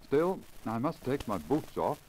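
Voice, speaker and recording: A man's voice: medium pitch, big pitch swings, unhurried, a little mellow, voice pushed up. A man voicing an eager, determined peasant thinking aloud in a 1950s radio story, on a crackly old disc. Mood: eager, calculating. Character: Pacham, eager, determined Russian peasant landseeker. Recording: crackly deteriorated 1950 lacquer disc recording.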